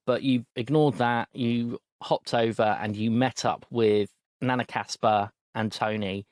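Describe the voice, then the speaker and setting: Male game master, mid-pitched, dry close mic, easygoing recap with ums. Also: a little slow, no echo. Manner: relaxed recap with frequent ums. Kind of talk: recapping a tabletop game session.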